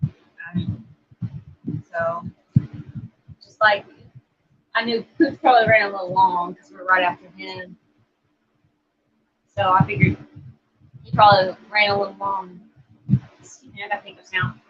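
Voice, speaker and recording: Husky woman chatting on a livestream. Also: mid-range voice, steady tone, a little slow, long pauses, dull audio. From Oklahoma. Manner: rambling, trails off, reads viewer comments aloud. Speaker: livestream co-host. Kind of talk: casual livestream chat.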